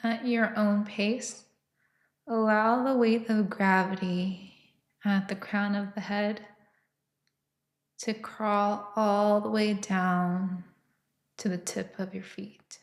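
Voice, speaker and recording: A woman leading a guided meditation with soft, soothing, unhurried instruction and long pauses between phrases. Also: medium pitch, level tone, echoey room.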